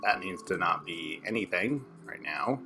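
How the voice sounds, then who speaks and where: A young man with a low, bright and forceful voice talks rapidly through his build, narrating each step as he plays. Faint music sits quietly under his casual commentary. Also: expressive. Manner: rapid thinking aloud while building. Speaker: co-host playing a modded game.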